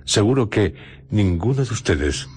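A man with a Castilian Spanish accent hosting a radio show, narrating a script in a solemn, theatrical, deliberate way with pauses. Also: fairly low pitch, lively intonation, noisy background. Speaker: radio host. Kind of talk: dramatic scripted radio narration introducing horror and adventure stories. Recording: music bed under studio radio voice. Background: music.

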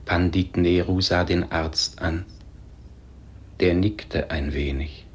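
An actor as the solemn storyteller of a classic radio play, narrating in a measured, grave manner. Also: male voice, low voice, steady tone, slightly rough, full character voice. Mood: solemn, hushed. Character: solemn male storyteller of a tragic tale. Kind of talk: scripted radio drama narration.

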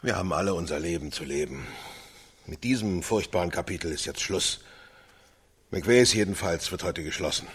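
Husky, sharp-edged bereaved interviewee. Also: male voice, deep voice, moderate pace. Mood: subdued, solemn. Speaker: interviewee in a staged reality radio show. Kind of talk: radio drama acting.

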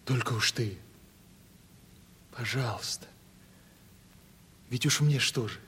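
A man's voice: medium pitch, slow, a bit bright, a little hiss or hum. An actor playing a simple, tender, anxious young provincial man in love in a 1970s Soviet radio play, folksy and earnest. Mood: aching tenderness. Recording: old radio play, faint music behind.

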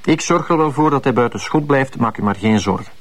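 Patronising upper-crust Flemish schemer played by a man: medium-pitched, controlled, bossy. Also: expressive, brisk, lightly acted. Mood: patronising, controlling. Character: smooth, condescending, bossy well-off male schemer. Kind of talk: naturalistic TV crime drama acting.

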